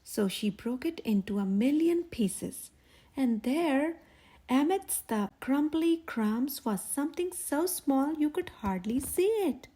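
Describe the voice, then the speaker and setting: A woman reading a children's story aloud in an expressive, breathy voice. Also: high voice, slow. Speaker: children's story reader, channel host.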